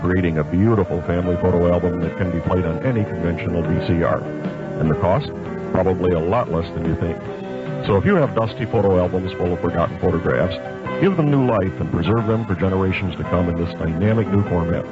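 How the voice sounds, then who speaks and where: A man's voice: low-pitched, quick pace. A man narrating a scripted radio commercial in an announcer's style. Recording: dull lo-fi radio recording, loud music. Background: loud music bed.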